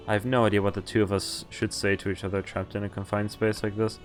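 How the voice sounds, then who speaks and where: A man streaming a visual novel, reading its lines aloud and breaking off to react and explain, with animated exclamations and groans. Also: on the low side, fast talker, soft background music.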